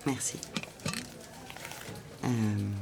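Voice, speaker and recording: A low-voiced, polite secretary. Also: female voice, fairly even intonation, extremely slow, gravelly, background noise, heavily acted. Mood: polite, slightly flustered. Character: polite, fond French office secretary, engaged young woman. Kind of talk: audio drama acting and first-person narration. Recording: music behind the voice.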